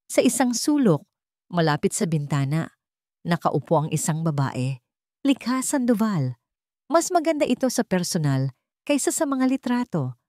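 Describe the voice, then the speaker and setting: A woman's voice: on the low side, a little mellow, dry recording, studio quality. A woman with a Filipino accent reading a dramatic story as a scripted narration.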